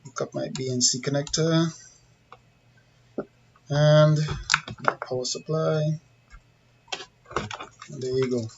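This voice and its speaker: A man's voice: fairly high pitch, fairly even intonation, takes it slowly, long pauses, darkish tone. Trini guy doing a casual how-to, plain talking, kinda muffled audio w a bit of background noise. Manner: conversational, plain-spoken, checks in with viewers. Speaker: CCTV installer giving a tutorial. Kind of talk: informal hands-on demonstration, talking through steps. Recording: dull lo-fi sound with background noise.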